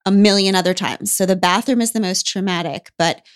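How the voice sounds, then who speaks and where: A woman's voice: medium pitch, brisk, clean tone. A woman hosting a conversation who asks probing, reflective questions. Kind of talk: conversational interview.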